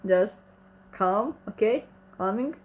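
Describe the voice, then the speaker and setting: A woman's voice: medium pitch, lively intonation, slow, highs cut off, slight background noise. A woman with a Croatian accent, who speaks Croatian natively, hosts a casual livestream Q&A. She reads viewer comments aloud and answers them in friendly, slightly broken English. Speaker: channel host reading chat questions.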